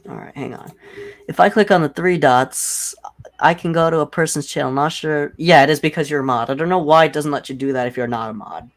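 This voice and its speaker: A young man with a deep voice chats loosely on his own livestream. He rambles from thought to thought and leans on "like" constantly, sounding unscripted and offhand. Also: brisk. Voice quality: self-described deep voice. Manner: rambling, heavy use of "like". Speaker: livestream host. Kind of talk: casual livestream chat.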